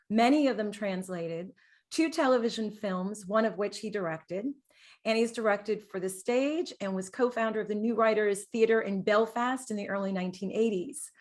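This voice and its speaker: A woman's voice: mid-range voice, darkish tone. A woman from New York City co-hosting a show, giving introductions that sound partly read from prepared notes. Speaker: co-host, actor and director.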